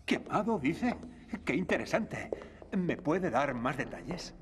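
A man's voice: on the high side, very animated, slow, slight background noise. An actor playing a composed, refined detective who speaks precisely and corrects his assistant's manners, in a cartoon dub. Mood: calm. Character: calm refined male detective.

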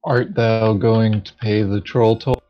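A man's voice: on the low side, little pitch movement, slow. A man chatting casually as a fellow board game player, commenting on rules and moves. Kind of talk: casual chat, rules talk. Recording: dull lo-fi mic.

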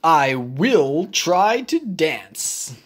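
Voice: medium pitch, very animated, drawn-out words, slightly rough, full character voice. A performer voicing a big, clumsy, earnest male elephant learning to dance, hesitant and effortful, in a children's picture book. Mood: determined.

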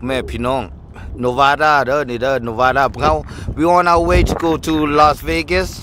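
A man vlogs casually on his travels, speaking quickly and informally. He is a Lao speaker who points out sights to his viewers. His voice is recorded over clear outdoor noise of wind and vehicles. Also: on the high side. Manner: informal, mixes two languages while pointing out sights. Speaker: travel vlogger. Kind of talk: casual vlog chat to viewers.

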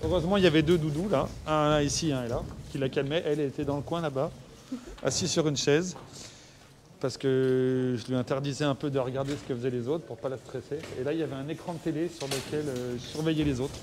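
A man coaching a young skier, talking casually and calming her down with encouragement. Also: a little high, fast, noisy background. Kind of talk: casual chat and pep talk.

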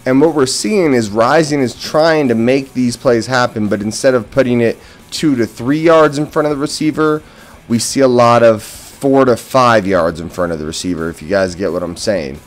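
A man's voice: mid-range voice, moderate pace. An American man giving casual post-game football commentary as a fan and host, opinionated and emphatic on key words. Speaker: sports podcast host and fan. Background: background music.

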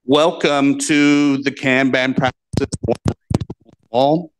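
A man from the giving a conference talk, speaking plainly and reading his points out slowly on a handheld mic. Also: mid-range voice, steady tone, clean tone, low-quality recording. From United States. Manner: plain, measured, reads points out slowly for translation. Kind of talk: slow, deliberate conference talk. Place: conference room with projector. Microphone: handheld microphone.

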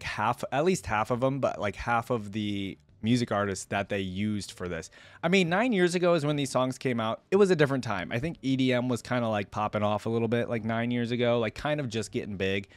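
A man's voice: medium pitch, fairly quick, clear, resonant tone, no echo. A man in his twenties chatting casually to viewers as a reaction host, in loose, slangy speech, close on a desk microphone. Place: small indoor home streaming setup. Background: electronic music.

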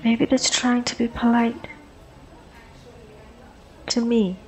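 A woman's voice: on the high side, level tone, slow pace, a little mellow, poor audio. A woman playing an anxious, socially awkward young woman with a crush in an ASMR roleplay, stammering and rambling nervously. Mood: anxious musing. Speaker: audio roleplay performer. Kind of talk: ASMR girlfriend roleplay acting.

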